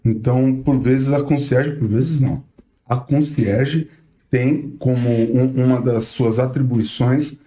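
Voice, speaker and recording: A man co-hosting a casual podcast who speaks in a measured, deliberate way and repeats points for emphasis. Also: mid-range voice, steady tone, dark tone, poor audio. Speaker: podcast co-host.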